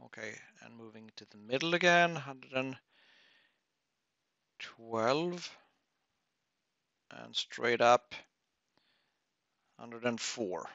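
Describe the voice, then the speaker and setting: Guy doing a webinar software walkthrough, slow n halting, dull dry audio. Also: mid-range voice, dry recording. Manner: halting, thinking aloud, frequent throat clearing. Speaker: webinar presenter. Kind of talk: unscripted software tutorial.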